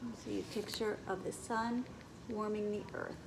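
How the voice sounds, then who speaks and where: A woman's voice: mid-range voice, fairly even intonation, moderate pace, gravelly, background noise. A woman reading a children's story aloud as a teacher, expressively, with asides to her young listeners.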